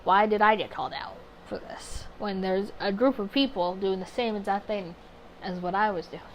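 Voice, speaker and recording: A woman's voice: mid-range voice, normal speed, a little hiss or hum, rough recording. A woman doing a casual let's play, reading story text aloud and adding chatty, candid side comments.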